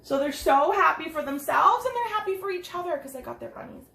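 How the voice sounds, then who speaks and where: High-voiced woman reading to children. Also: brisk, creaky. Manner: expressive, asks listeners questions, explains pictures simply. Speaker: teacher reading a picture book aloud to children. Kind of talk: read-aloud storytelling with chatty asides to young children. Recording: roomy echo, some background noise.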